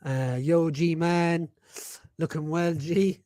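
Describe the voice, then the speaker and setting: A man's voice: on the high side, steady tone, very slow, dark tone, no echo, studio quality. A man in his forties hosting a casual live-stream podcast, reading chat messages aloud, laughing often and swearing freely. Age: 40s.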